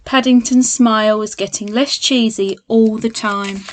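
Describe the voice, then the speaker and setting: A woman's voice: a little high, steady tone, unhurried, a little hiss or hum. A woman reading a children's storybook aloud, speaking expressively and directly to young listeners as a primary school teacher.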